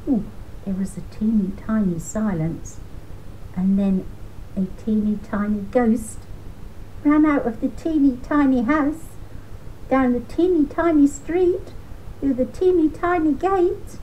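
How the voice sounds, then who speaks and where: An older woman reads a children's story at a slow pace in a mellow voice. She phrases deliberately, leaning gently on repeated words, with faint noise behind her. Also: sits high, rough recording.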